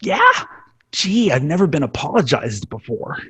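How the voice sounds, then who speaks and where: An insecure sitcom schoolboy, played by a man, with a very husky, rough voice on the high side. He is needy and self-deprecating, and his pitch moves around a lot. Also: big pitch swings, moderate pace, dry recording, full character voice. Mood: sulky, hurt. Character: insecure, self-pitying nerdy schoolboy pleading to be liked. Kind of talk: amateur table read of a TV sitcom.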